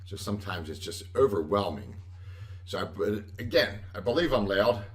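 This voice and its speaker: A loud-voiced man chats casually as host of a livestream, rambling along and chuckling as he corrects himself. His voice moves up and down in a lively way. He sits back from a webcam mic in an echoey home room. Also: fairly low pitch, normal speed, far from the mic.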